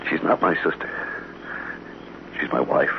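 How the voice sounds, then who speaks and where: Casting: dying frontier man, very deep and creaky, halting, weary, pained, vintage radio drama. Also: full character voice. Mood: pained, resigned. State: pained, failing. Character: wounded Old West man, weary and resigned. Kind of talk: old-time radio western acting. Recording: dull, hissy vintage radio recording.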